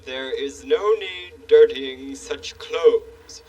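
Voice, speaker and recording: A man voicing a pompous, formal male king puppet who speaks slowly and fussily. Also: mid-range voice, mellow, distant mic, poor audio. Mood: stately. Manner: slow, stately, fussy.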